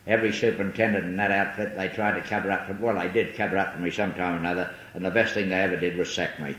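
Older Liverpool man, lowish voice, rambling self-mocking speaker, recorded on old tape in an echoey hall. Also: fairly even intonation, rapid-fire, fairly bright tone. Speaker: speaker at a recovery meeting. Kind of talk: personal testimony talk. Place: large hall.

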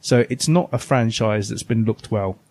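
A man's voice: fairly low pitch, normal speed, darkish tone. A man co-hosting a podcast, chatting casually and giving opinions with emphasis. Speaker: podcast co-host. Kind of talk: casual retro gaming chat.

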